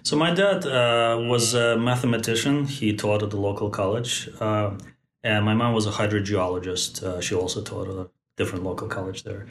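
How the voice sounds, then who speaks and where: A man in his forties, born in Moscow and raised in Central Asia, speaks thoughtfully as a podcast guest, pausing often to find his words. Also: fairly low pitch, fairly even intonation, slight background noise. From born in Moscow, raised in Tajikistan. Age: 40s. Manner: thoughtful, many fillers and restarts. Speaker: guest, company founder. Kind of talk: podcast interview. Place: Bay Area.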